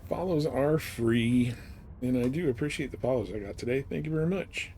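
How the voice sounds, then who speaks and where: A man's voice: medium pitch, brisk, dark tone, a little hiss or hum. A man chatting casually to his viewers as he streams a game. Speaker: live streamer. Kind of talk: casual livestream chat while gaming.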